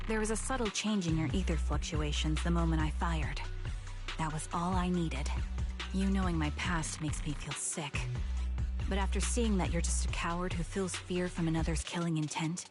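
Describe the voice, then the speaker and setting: A woman acting as a stoic female sniper from a video game, with a lowish voice. She is terse, controlled and defiant, with music underneath. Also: moderate pace, close to an everyday voice. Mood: cold contempt. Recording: music behind the voice.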